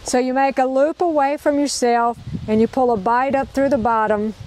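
A woman's voice: high voice, fairly even intonation, moderate pace, a little mellow, a little hiss or hum, rough recording. Upbeat woman teaching knots outdoors. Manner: upbeat, repeats each step, checks in with "okay?". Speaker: knot-tying instructor. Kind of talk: hands-on outdoor demonstration. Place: outdoors in a park.

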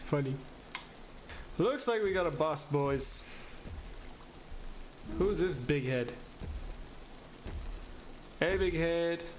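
Young male gamer, higher-than-average voice, slow delivery, casual slangy commentary, noisy home setup. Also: lively intonation. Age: young adult. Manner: slangy, muttered reactions with exclamations. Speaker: gaming video host. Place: small indoor room at home.

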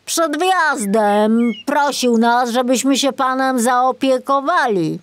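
A woman's voice: high-pitched, takes it slowly, voice pushed up. A woman voicing a small male forest sprite in a storybook, formal, polite and touchy, given to admonishing.

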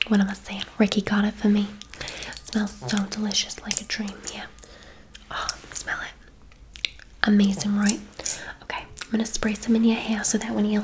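A woman's voice: mid-range voice, noisy background, close to an everyday voice. A woman playing a gushing, affectionate, gossipy hairdresser in an ASMR roleplay, chatty and full of endearments. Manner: effusive, chatty, uses endearments.

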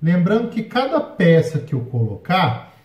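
Brazilian man, slightly high voice, chatty board game explainer, roomy lo-fi sound. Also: sing-song, a little slow. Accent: Brazilian Portuguese. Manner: explanatory, frequent fillers and tag questions. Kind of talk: solo gameplay walkthrough. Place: indoors at a table. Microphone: overhead camera, hands only.

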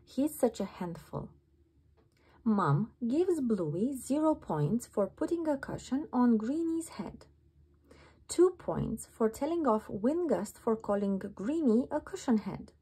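A woman narrating a children's storybook aloud in an expressive style. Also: fairly high pitch, husky. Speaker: storybook reader.

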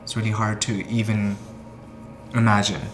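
A man's voice: lowish voice, flat delivery, moderate pace, slight background noise. A man in his thirties with a Pakistani accent, speaking hesitantly in short phrases in a personal interview. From Quetta, Pakistan. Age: 30s. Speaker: dancer, documentary subject. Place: living room, indoors.

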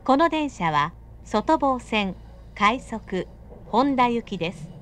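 A woman's recorded voice giving automatic train announcements in smooth, evenly paced, clipped phrases. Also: a little high, very animated, slow pace, fairly bright tone. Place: inside a moving commuter train.

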